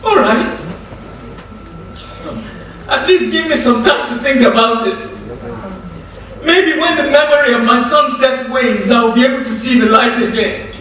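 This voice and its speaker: An actress with a Nigerian accent playing the proud, grieving wife of a jailed politician in a dignified, declamatory stage style. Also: female voice, fairly high pitch, measured pace, long pauses, fairly bright tone. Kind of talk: theatrical stage acting. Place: theatre stage, recorded from a distance. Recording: very echoey stage, far mic, faint noise.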